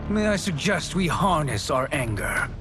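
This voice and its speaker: An actor playing a sly, haughty sorcerer in a video game, with ornate, mock-polite phrasing. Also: male voice, fairly high pitch, very animated, moderate pace, music in the background. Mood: cool, vengeful. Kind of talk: video game cutscene acting.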